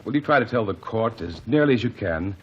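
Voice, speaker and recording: A man's voice: on the low side, animated, a little fast, close to an everyday voice. A man playing a methodical courtroom advocate in a 1949 radio drama, formal and probing as he questions witnesses, on a dull, faintly hissy recording. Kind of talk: 1940s radio drama acting.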